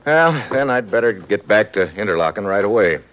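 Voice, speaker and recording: A hard-boiled American secret agent acted by a man from vintage radio drama, with faint noise on the recording. The voice is very deep. He is clipped, wry and unimpressed. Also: lively intonation, fairly quick, dull audio, natural voice. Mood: dry, businesslike. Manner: blunt, sardonic, clipped. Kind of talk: 1950s radio drama acting.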